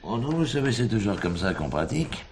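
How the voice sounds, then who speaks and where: A courteous diamond broker in a 1970s drama. His male voice sits low and self-assured, with faint hiss behind it. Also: very animated, brisk, darkish tone. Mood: composed. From Transvaal, South Africa. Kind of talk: 1970s French theatrical radio-style drama acting.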